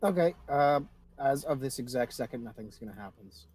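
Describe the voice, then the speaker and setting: A man's voice: medium pitch, lively intonation, a little fast, crowd noise. A man talking casually and swearing freely as game master in a livestreamed tabletop game. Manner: informal, sweary.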